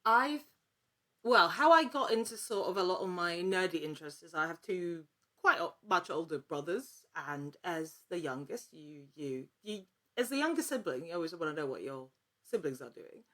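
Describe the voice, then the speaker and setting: Low-pitched woman, casual and halting. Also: lively intonation, sharp tone. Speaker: podcast guest, actor and writer. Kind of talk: casual conversational interview.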